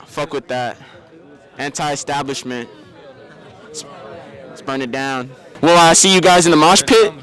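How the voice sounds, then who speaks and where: Man, street interviewer, slangy, joking, swearing, slightly high voice, outdoors, faint crowd. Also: a little slow, pauses often, a bit bright. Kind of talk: loose hyped street interviewing. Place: outdoors at a crowded music show. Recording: crowd noise behind.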